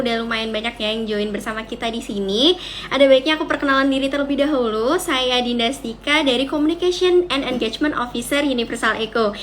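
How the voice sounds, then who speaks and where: High-voiced chatty woman hosting online. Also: a bit bright, a little hiss or hum. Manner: chatty, mixes formal and casual phrasing. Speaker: host. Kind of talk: hosting a live online talk.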